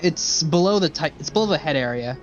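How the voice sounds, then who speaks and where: A man's voice: fairly high pitch, animated, brisk, lo-fi sound, faint music behind. A man casually chatting with viewers as a game livestreamer, rambling and swearing freely. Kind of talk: casual chat with viewers while playing.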